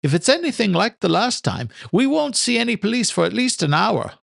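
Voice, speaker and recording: A man's voice: high-pitched, sing-song, brisk, gravelly, fairly bright tone, no room sound, clean studio sound, voice pushed up, exaggerated voice. A man voicing a polite, good-natured young adult groundskeeper son in a storybook reading. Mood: earnest.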